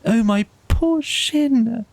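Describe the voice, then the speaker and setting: A man's voice: very high voice, extremely slow, a little mellow, dry recording, voice pushed up, heavily acted. A man voicing an injured, plaintive and grumbling mole, a slightly dim storybook animal, in a whimpering, miserable manner. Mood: pained whimpering. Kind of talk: storybook character voice.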